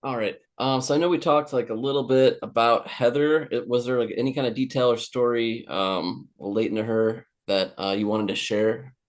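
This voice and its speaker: Brief: male interviewer, middle pitch, halting, lots of um and uh. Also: fairly even intonation. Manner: hesitant, filler-heavy questions. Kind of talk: interview questions.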